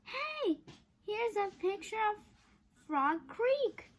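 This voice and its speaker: A woman's voice: extremely high pitch, big pitch swings, very slow, a little hiss or hum, voice pushed up, full character voice. A woman voicing a cautious, curious young boy from a storybook, in an earnest way.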